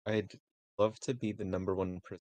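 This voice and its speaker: A man's voice: fairly low pitch, flat delivery, moderate pace, darkish tone, no room sound. A man chatting casually as a participant in an online table read. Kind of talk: casual chat.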